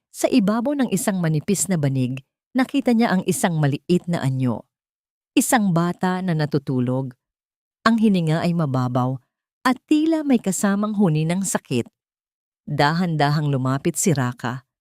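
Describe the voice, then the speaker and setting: Female audiobook narrator for melodramatic fiction, slightly low pitch, dry close recording. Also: darkish tone, no room sound. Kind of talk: dramatic scripted story narration.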